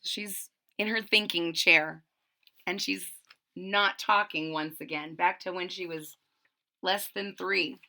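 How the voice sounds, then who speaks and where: A woman's voice: on the low side, slow pace. A woman reading a children's story aloud with dramatic emphasis, explaining simply as if to young listeners. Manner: expressive, dramatic emphasis on key words. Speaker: storybook reader.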